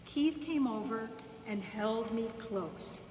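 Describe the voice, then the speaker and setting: Female storyteller, bedtime story, higher-pitched, unhurried pauses, echoey room, faint background noise. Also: darkish tone, distant mic. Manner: unhurried, with pauses between short phrases. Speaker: volunteer storyteller reading a children's book. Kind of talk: bedtime story read aloud, first-person narration.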